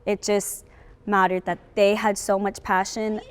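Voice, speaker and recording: A woman's voice: mid-range voice, little pitch movement, measured pace, smooth. Echoey young woman speaking earnestly. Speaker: high school drama cast member. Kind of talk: reflective interview answers. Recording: echoey, long room reverb.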